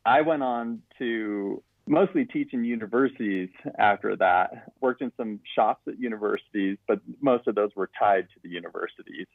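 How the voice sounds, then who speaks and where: A man's voice: on the low side, normal speed, hardly any pauses, dry recording. Man on a tinny, dry phone-like line. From Utah, US. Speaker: education director guest. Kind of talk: casual interview chat.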